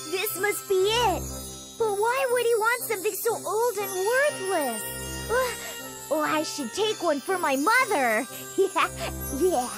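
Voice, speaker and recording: A woman voicing an earnest, excitable young boy in a children's fairy tale cartoon, with an expressive, exclaiming manner. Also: very high-pitched, slow pace, over music, heavily acted. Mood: curious, earnest.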